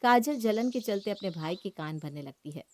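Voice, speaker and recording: A woman's voice: mid-range voice, expressive, moderate pace, slight background noise. A woman reading scripted narration for a moral story.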